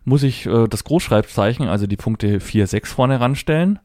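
A man's voice: medium pitch, lively intonation, normal speed, dark tone, no echo, clean studio sound. A middle-aged man giving a conversational, step-by-step tech tutorial, explaining and spelling things out as he demonstrates a device. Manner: spells out letters and dot numbers step by step. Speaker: presenter of a tech tutorial for Braille users.

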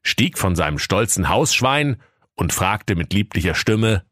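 Guy narrating a funny fairytale, deep voice, fake-serious, dry close studio sound. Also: sing-song, unhurried, fairly bright tone, no echo. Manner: mock-solemn. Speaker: storyteller. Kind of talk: comic fairy-tale narration.

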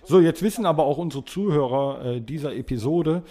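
A man's voice: on the high side, fairly quick, mellow. A man co-hosting a podcast, casually moderating and bantering with the others. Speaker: podcast co-host.

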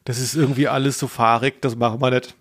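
Guy cohosting a podcast, normal pitched voice, chatty and jokey, tongue in cheek. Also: flat delivery, quick pace, a bit bright, husky. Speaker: podcast host. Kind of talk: casual podcast chat.

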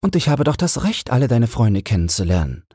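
Man voicing a hurt, insistent young fiancée, lifted pitch. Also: very animated, fairly quick, warm tone, slightly rough, no room sound, clean studio sound.

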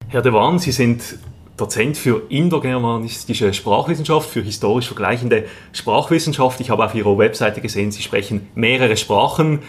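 A man's voice: medium pitch, normal speed, faint music behind. A man hosting a science podcast, who asks an interview guest polite, formal questions.

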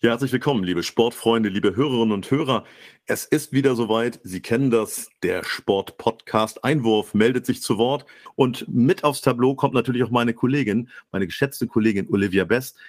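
A man's voice: medium pitch, normal speed, no echo, studio quality, soft background music. Stuffy-nosed man hosting a podcast. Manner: friendly, formal introductions. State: stuffy nose. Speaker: podcast host. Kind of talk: casual podcast talk. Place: home studio, remote call.

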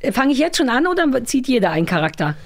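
Blunt, casual woman, slightly high voice. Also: big pitch swings, quick pace. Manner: blunt, colloquial. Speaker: talk show participant. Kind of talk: casual, playful chat.

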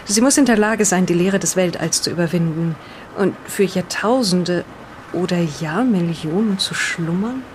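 A woman's voice: lowish voice, darkish tone, slight background noise, close to an everyday voice. A woman playing a hesitant, bewildered reader of an old diary, reading aloud and commenting in an audio drama. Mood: absorbed reading. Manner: halting, breaks off to comment on the reading.

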